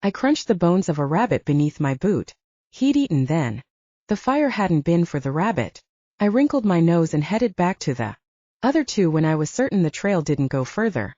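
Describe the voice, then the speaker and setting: Mellow woman narrator, animated pitch, dull audio. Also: moderate pace, no echo.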